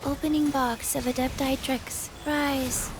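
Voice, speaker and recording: A woman's voice: high-pitched, flat delivery, a little slow. An actress voicing a confident female fantasy adventurer in a video game, giving short battle lines. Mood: commanding. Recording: game music behind.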